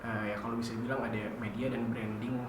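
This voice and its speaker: A man's voice: medium pitch, flat delivery, fast talker. A nineteen-year-old man answering interview questions casually, hesitant with many fillers. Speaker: interviewee, student. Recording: echoey room, noisy background.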